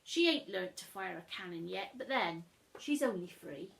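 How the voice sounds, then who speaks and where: A woman's voice: fairly low pitch, lively intonation, moderate pace, vocal fry, fairly bright tone, pitched below the performer's own voice, low-quality recording. A woman voicing a cheerful, boastful young pirate boy from a storybook, full of seafaring slang.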